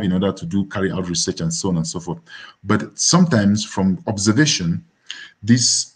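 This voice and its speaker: A man with a Cameroonian accent gives an academic lecture on a video call in a husky voice, deliberate and halting, sometimes repeating words. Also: lowish voice, darkish tone. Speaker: academic philosopher, webinar speaker. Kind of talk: academic lecture over slides. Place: dim room indoors, on a video call. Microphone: computer microphone on a video call.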